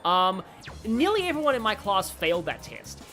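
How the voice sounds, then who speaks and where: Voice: mid-range voice, big pitch swings, normal speed, pushed and bright. Chatty game streamer over music. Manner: rambling, chatty, reacts aloud to the game. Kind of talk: casual livestream commentary while playing a video game.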